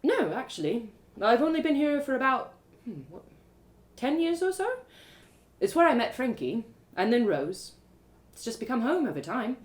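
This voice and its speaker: A woman's voice: a little high, sing-song, moderate pace, long pauses, reverberant, pitched above the performer's own voice. A woman voicing a friendly, talkative, emphatic city woman who guides a young newcomer in a story. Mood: warm, thoughtful. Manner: chatty, emphatic, reassuring. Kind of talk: storybook character voice.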